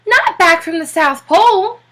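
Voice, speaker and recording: A woman's voice: very high voice, animated, a little slow, pushed and bright, pitched above the performer's own voice, heavily acted. A woman voicing an earnest, polite, easily amazed family man in a children's storybook.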